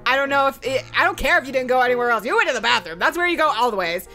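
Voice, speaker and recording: A woman's voice: high voice, expressive, very fast. A woman telling a story casually as a let's play host, giggly, slangy and rambling, acting out bits of dialogue as she goes, with music behind her. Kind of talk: animated casual storytelling recap.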